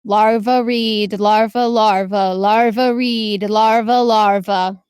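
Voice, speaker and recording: A woman voicing an eerie living maze of vines in a tabletop game, chanting slowly and repetitively. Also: medium pitch, steady tone, darkish tone, clean tone, dry recording, clean studio sound, lightly acted.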